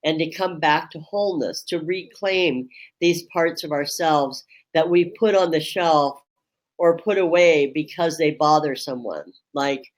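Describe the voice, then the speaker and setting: A woman Reiki teacher hosting a webinar, with a strong voice that carries across a room. She talks in a slow, measured way and pauses often with ums. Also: sits low. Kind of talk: reflective webinar talk. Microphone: webinar microphone.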